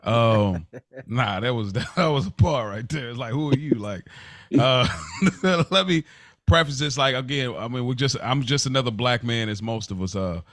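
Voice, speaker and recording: An American man co-hosts with casual, slangy banter, jumping in with short interjections. His pitch is average for a man, and the recording is dry and close-sounding. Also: moderate pace, fairly bright tone, dry recording. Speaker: podcast co-host.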